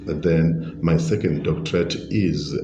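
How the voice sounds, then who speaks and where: Very deep, slow Zimbabwean man. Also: steady tone, warm tone, a little hiss or hum. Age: middle-aged. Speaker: podcast guest, leadership coach and pastor. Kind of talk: conversational interview answers. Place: restaurant. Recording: dull, roomy, echoing sound.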